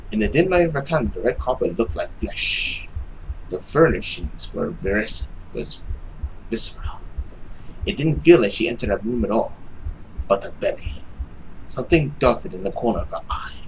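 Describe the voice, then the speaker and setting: Young male gaming narrator, dramatic suspenseful read, hushed at times, faintly noisy home recording. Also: mid-range voice. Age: young adult. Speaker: gaming YouTuber reading a visual novel aloud.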